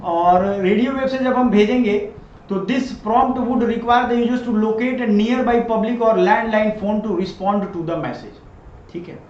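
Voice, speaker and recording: A man's voice: high voice, steady tone, takes it slowly. A man giving a conversational current-affairs lecture as a teacher, mixing in English and checking in with listeners. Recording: echoey room, muffled, loud background noise.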